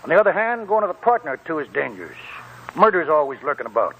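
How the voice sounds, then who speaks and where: An actor playing a talkative, wry old gold prospector in a 1940s radio drama, raspy and folksy, rambling like a storyteller. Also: male voice, a little high, big pitch swings, fast, pushed and bright, heavily acted. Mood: knowing, grave. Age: older. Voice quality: chuckling, raspy old man's voice. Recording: muffled 1940s radio broadcast with music.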